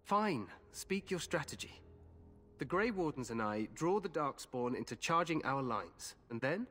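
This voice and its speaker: A man's voice: fairly high pitch, sing-song, measured pace, fairly bright tone, faint music behind, heavily acted. An actor playing an eager, glory-seeking young warrior king in a fantasy video game, imperious when challenged, recorded with long reverb. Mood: impatient.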